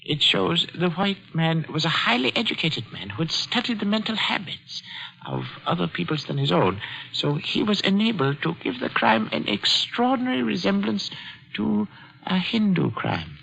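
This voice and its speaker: A gravelly, formal rich uncle. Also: male voice, high-pitched, very animated, bright, forceful tone, full character voice. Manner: measured, formal, precise speech. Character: wealthy older man, formal, commanding uncle. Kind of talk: 1940s radio acting. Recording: dull lo-fi 1940s radio recording.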